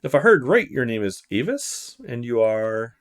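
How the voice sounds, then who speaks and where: A man's voice: medium pitch, sing-song, moderate pace, close to an everyday voice. Man voicing an earnest young male student. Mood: polite. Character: polite, decent young male newcomer student. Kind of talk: visual novel character read-aloud.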